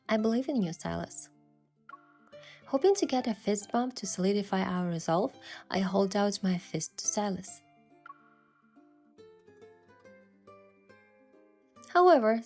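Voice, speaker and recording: An actress playing a playful, determined young woman who narrates her romantic game adventure in a measured, expressive way. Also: medium pitch, close to an everyday voice. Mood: determined, curious, then narrating, playful. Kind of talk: first-person story narration and dialogue.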